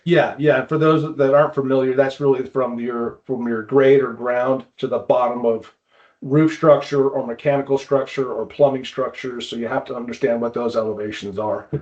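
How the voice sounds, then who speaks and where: A man's voice: medium pitch, fairly even intonation, moderate pace, darkish tone, muffled recording. A man speaking as a trade expert guest in a casual interview, explaining practical details and often repeating words as he goes. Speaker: guest, climbing wall builder and consultant.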